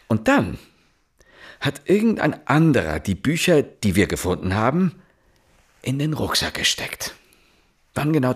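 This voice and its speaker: An actor playing an insistent, probing police inspector, questioning deliberately in a naturalistic radio drama. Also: male voice, medium pitch, slightly rough, full character voice. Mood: deliberate, building.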